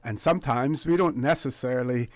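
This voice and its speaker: A man from the Caribbean hosting a radio talk show, interviewing in a halting, deliberate conversational way. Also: medium pitch, no echo. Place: radio studio.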